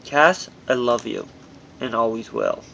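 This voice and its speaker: A man's voice: medium pitch, huge pitch swings, measured pace, creaky, pushed and bright, dull audio, slight background noise. A man reading his own memoir aloud as scripted audiobook narration, in an earnest manner with occasional stumbles over words.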